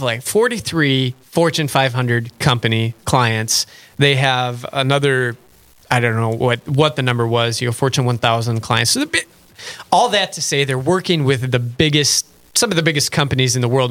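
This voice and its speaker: Bright-voiced chatty male radio host. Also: medium pitch. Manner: chatty, self-deprecating, laughs easily. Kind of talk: casual faith radio chat.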